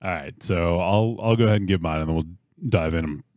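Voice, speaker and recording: A man's voice: deep voice, fast, a crowd in the background. A man hosting a podcast, teasing the others dryly while moving the show along. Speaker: podcast host. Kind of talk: casual chat, steering the talk.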